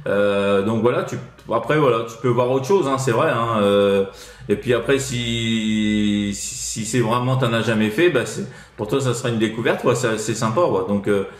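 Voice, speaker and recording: A man's voice: mid-range voice, moderate pace. A man with a French accent from France, chatting casually as a CB radio operator giving advice, in a hesitant, rambling way full of tag words. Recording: roomy echo.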